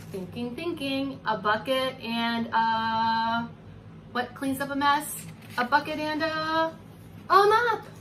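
A woman reads a picture book to small children in a high, very lively voice that swings up and down. She stretches key words and breaks off to ask coaxing questions like a preschool teacher. The home recording has clear background noise. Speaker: pre-kindergarten teacher reading a storybook aloud. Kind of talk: animated children's story read-aloud with asides to young listeners.

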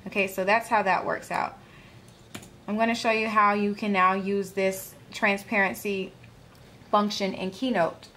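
A woman presenting a software tutorial, calmly walking viewers through each click step by step. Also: mid-range voice, unhurried, slight background noise. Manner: plain instructional, walks through clicks calmly. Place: home desk, filming a computer screen.

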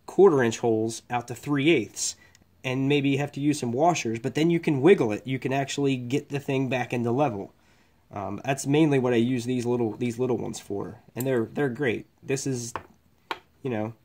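A man's voice: mid-range voice, moderate pace, darkish tone. Frank, rambling man reviewing tools. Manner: rambling, frank, swears casually. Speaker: electrician reviewing his tools. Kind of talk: casual tool review. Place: workshop bench, indoors.

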